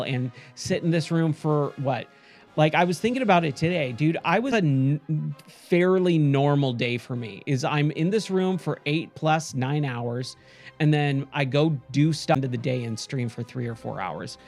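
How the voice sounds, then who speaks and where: Hoarse, somewhat high-voiced male streamer; chatty, rambling sign-off to viewers over soft music. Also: a little slow. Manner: chatty, rambling, frequent self-corrections. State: hoarse, losing his voice. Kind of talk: casual end-of-stream chat with viewers.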